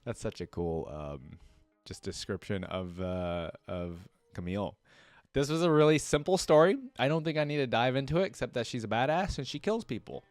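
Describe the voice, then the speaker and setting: A man hosts a fan reaction video in an informal, chatty way. His medium-pitched voice is enthusiastic and casual, captured close and dry with little room sound. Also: lively intonation, normal speed, no echo, faint music behind. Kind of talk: casual fan commentary alternating with story read-aloud.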